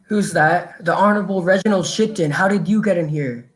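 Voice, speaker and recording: A man's voice: high-pitched, brisk, natural voice, poor audio. Man playing a stern, officious police inspector. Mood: stern, accusing. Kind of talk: amateur performance of a 1940s radio mystery.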